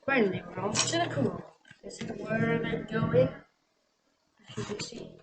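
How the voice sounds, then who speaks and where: Young reader, children's story read aloud, slow, stumbling delivery, clear music in background. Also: mid-range voice. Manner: halting, stumbles and repeats words. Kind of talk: reading a children's picture book aloud.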